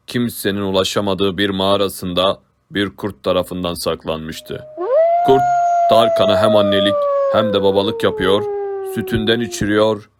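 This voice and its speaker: A man playing the solemn storyteller of an epic cartoon legend, narrating with measured dramatic phrasing. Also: deep voice, dry recording, studio quality. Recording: clearly audible music behind narration.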